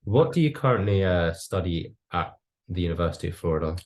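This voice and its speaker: A man's voice: deep voice, expressive, quick pace, a little mellow, a little hiss or hum. A young man working through a semi-scripted interview as a student interviewer. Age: young adult.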